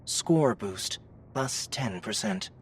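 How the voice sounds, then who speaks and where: Game system voice for LitRPG audiobook. Deliberate, slow, impersonal announcing, faint rumbling noise. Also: lively intonation. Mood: impersonal, formal. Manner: clipped, formal, deliberate announcing.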